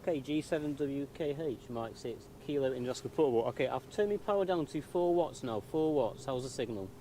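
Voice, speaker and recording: A man's voice: mid-range voice, expressive, fairly quick. Man on ham radio, noisy background. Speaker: amateur radio operator filming a video. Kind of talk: casual radio exchange with spelled-out callsigns.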